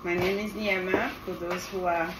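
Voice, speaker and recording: A woman's voice: lowish voice, fairly even intonation, normal speed. A middle-aged woman from Senegal with a French West African accent, giving an encouraging, casual cooking demonstration in her home kitchen. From Senegal, grew up in the south of France. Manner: encouraging, addresses viewers affectionately. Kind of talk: casual instructional cooking vlog. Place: small home kitchen, indoors. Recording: loud sizzling and stirring kitchen noise. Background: frying, sizzling, stirring.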